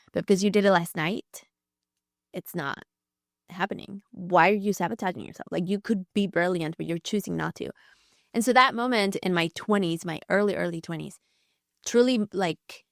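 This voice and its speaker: A woman's voice: fairly low pitch, dry recording, clean studio sound. A woman in her thirties, a podcast guest, talking candidly and reflectively, full of "like" fillers. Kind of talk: conversational interview.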